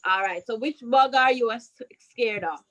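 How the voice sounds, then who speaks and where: A woman's voice: fairly high pitch, moderate pace, fairly bright tone, dull audio. A woman, a school principal leading a question-and-answer chat with children, prompting them and repeating questions and answers back.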